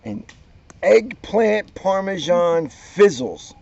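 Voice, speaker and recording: A man, a police officer, reading a children's book aloud with expressive, drawn-out emphasis and casual asides. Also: high-pitched, vocal fry. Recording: dull, muffled lo-fi sound.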